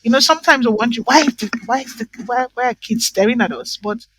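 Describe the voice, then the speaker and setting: A woman's voice: a little high, quick pace, a bit bright, slightly rough. A woman from Nigeria in casual conversation, talking emphatically and often checking in with "right?" and "you know". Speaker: guest.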